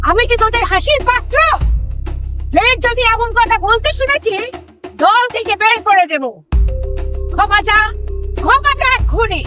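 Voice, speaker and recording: A woman's voice: extremely high pitch, animated, moderate pace, long pauses. A woman playing a stern, commanding prehistoric clan leader in a Bengali audio drama, barking orders and threats. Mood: stern, angry. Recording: loud dramatic music under voice.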